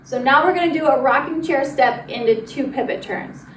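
Casting: young female dance instructor, high voice, counts steps aloud, recorded at a distance in a studio. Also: moderate pace, fairly bright tone. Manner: directive, demonstrating while moving. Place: large indoor dance studio with wooden floor. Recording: roomy, distant mic with noise.

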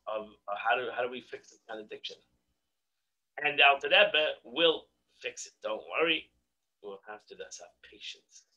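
A middle-aged man leads an online religious class from a home study, speaking into a webcam. His voice is bright and fairly high, and he repeats words as he presses a point. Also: lo-fi sound. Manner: animated, repeats words when stressing a point. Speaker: rabbi teaching a daily class. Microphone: video call webcam.

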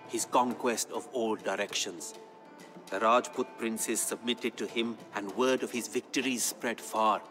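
An actor playing a court poet who solemnly tells his king's legend in a measured, grave, dramatic storytelling style for a game. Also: medium pitch, fairly bright tone, close to an everyday voice. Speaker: narrator. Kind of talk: dramatic scripted storytelling narration. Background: background music.

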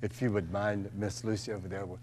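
Older camp drag host gabbing at a desk mic, kinda low voice, faint hum. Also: male voice, level tone, a little fast, darkish tone. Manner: chatty, teasing, camp. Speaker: talk show host. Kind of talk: campy talk show chat. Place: small studio set.

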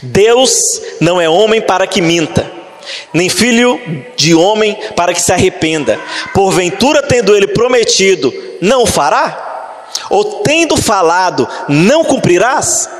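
Male pastor, 30s, high-pitched, fiery sermon delivery with shouted peaks, handheld mic in a reverberant church hall. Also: expressive, a little hiss or hum. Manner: preaching with rising, shouted emphasis and slow drawn-out scripture reading. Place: church stage, large hall.

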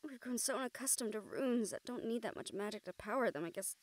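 A woman plays a young female mage in an intimate audio roleplay. Her voice sits a little high. She comes across as excitable and caring, speaking in an animated, halting way and swearing freely. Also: no room sound, natural voice. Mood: tired, thoughtful.

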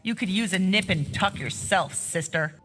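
A woman's voice: medium pitch, moderate pace, long reverb tail, faint music behind, natural voice. An actress playing a tough, irritable female military officer in a video game, curt and dry. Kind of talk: video game character dialogue. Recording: loud ambient machinery noise.

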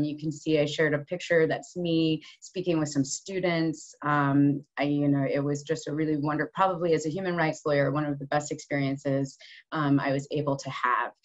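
A woman human rights lawyer, giving an earnest conference talk full of ums and restarts over a video call. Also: sits low. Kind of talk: online conference presentation. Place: office room at home base, rain on the roof. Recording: slightly dull video call audio.

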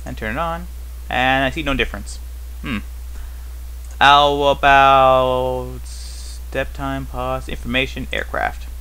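Bright-voiced man rambling through gameplay commentary. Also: mid-range voice, expressive, takes it slowly, long pauses, smooth, low-quality recording. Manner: rambling, thinking aloud with frequent questions to himself. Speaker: host of a gaming series. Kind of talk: casual unscripted gameplay commentary.

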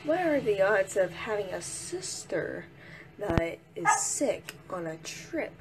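A woman's voice: medium pitch, very animated, takes it slowly. A woman in her twenties chatting offhandedly while vlogging a road trip from a car, her phone held at arm's length. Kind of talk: casual travel vlog. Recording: clear road and car noise.

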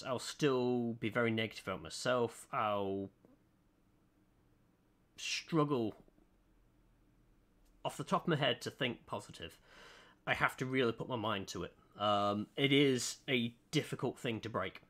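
A man from the United Kingdom chatting earnestly and hesitantly on a live stream while drawing, with many pauses and restarts. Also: mid-range voice, expressive, fairly bright tone.

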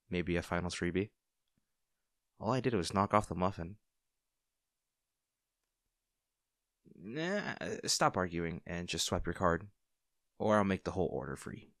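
A friendly young barista, acted by a man for an audio roleplay, with a fairly low voice. He speaks casually and attentively, a little hesitant, as if to one favorite customer. The sound is dry, with no room around it. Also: vocal fry, no room sound, heavily acted. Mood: playful, teasing. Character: friendly, caring young male barista, teasing and easily flustered. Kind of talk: intimate audio roleplay acting.

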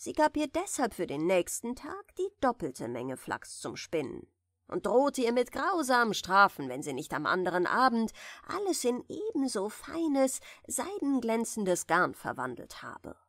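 A woman reading a fairy tale softly and soothingly as a bedtime audiobook narrator, in a measured way. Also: on the high side, huge pitch swings, no echo, clean studio sound. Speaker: audiobook reader of fairy tales.

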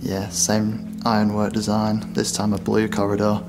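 Low gravelly british guy musing calmly as he walks, flat tone. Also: moderate pace, music in the background. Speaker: urban explorer host. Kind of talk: exploring walkthrough commentary. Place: abandoned building, indoors.

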